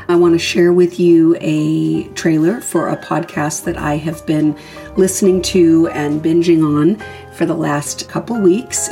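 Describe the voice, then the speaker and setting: Low, mellow-voiced woman hosting a podcast. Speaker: podcast host. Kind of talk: casual scripted promo introduction.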